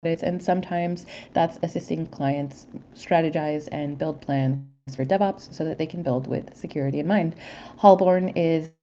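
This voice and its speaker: A woman's voice: deep voice, a little slow, warm tone, lo-fi sound, slight background noise. A woman with a stuffy cold introducing herself as a security advisor in an upbeat, articulate way. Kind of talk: guest self-introduction.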